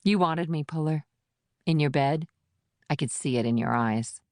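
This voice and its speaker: A man's voice: a little high, normal speed, a little mellow, no echo, studio quality, lightly acted. A man voicing a menacing, taunting female agent in an audiobook thriller. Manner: taunting, threatening. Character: cold menacing woman agent with gun. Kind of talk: audiobook narration.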